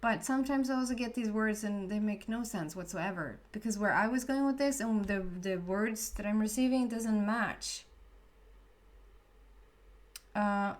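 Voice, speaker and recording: A woman's voice: medium pitch, moderate pace, few pauses. A woman with a Swedish accent, a Swedish native speaker giving a casual tarot reading, chatty and often searching for the right words. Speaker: tarot reader.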